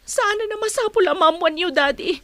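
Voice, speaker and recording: A woman's voice: very high-pitched, normal speed, a bit bright, no echo. A woman acting the part of a hurt but resolute wife and mother in a radio-style drama, emotional and plaintive. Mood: tearful, defiant. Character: hurt, resolute wife and mother leaving her cheating husband.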